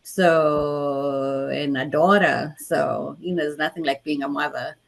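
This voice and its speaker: A woman's voice: deep voice, steady tone, slow, creaky, poor audio. A woman from Kenya with a Kenyan accent, talking conversationally as an interview guest. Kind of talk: conversational self-introduction.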